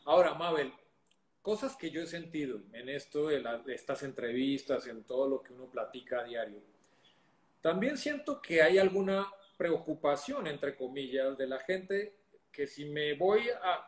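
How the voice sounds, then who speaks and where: A man hosting an interview, asking long reflective questions. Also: a little high. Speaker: interview host. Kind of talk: conversational interview. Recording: echoey room.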